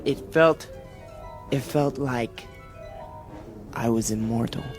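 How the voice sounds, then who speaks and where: Guy acting as young fanatic agitator, boastful and unstable, slowish drawn-out lines, radio drama w music behind. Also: mid-range voice, natural voice. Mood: feverish pride. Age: young adult. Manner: intense, stammering, repeats himself.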